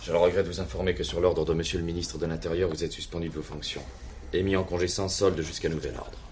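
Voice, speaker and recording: An actor playing a stiff, coldly dutiful police superintendent in a French radio play, speaking in formal, measured officialese. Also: male voice, deep voice, flat delivery, darkish tone, slight background noise, natural voice.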